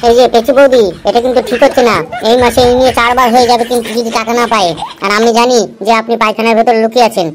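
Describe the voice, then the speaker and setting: A woman's voice: a little high, level tone, racing, noisy background, natural voice. A woman voicing an exasperated, threatening male loan collector who scolds and complains in a comedy cartoon.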